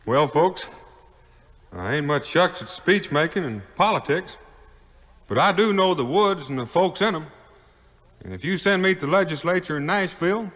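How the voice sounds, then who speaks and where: An actor playing an honest, plain-spoken frontier hero with a backwoods Southern American accent in a 1950s audio play. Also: male voice, fairly high pitch, expressive, normal speed, long pauses, a bit bright. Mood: earnest speechmaking. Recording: long hall-like reverb.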